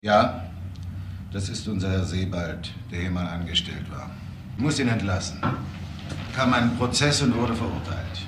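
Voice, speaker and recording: Notary, echoey room, deep voice, reserved, formal, terse, discreet, radio play. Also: male voice, animated, a little slow. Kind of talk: German crime radio play acting. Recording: echoey room with faint noise.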